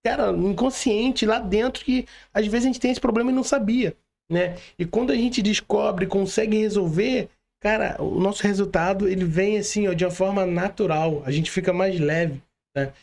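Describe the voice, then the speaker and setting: A man's voice: high voice, moderate pace, creaky, a little mellow. A Brazilian man hosting an online interview in informal, slangy speech, often adding little tag questions. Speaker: podcast host.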